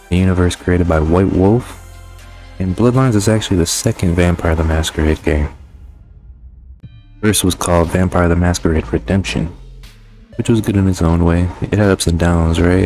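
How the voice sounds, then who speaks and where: A man with a low voice hosts a video game review. He talks casually and informally with plenty of slang, conversational throughout, over a faint bed of music. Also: a little mellow. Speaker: host of a game review channel.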